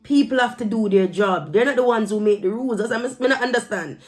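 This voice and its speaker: A woman's voice: a little high, fast. A woman from Jamaica with a Jamaican accent, talking casually to her viewers in an emphatic, admonishing way and slipping into Patois phrases. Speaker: vlogger giving her opinion. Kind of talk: casual opinion talk to camera.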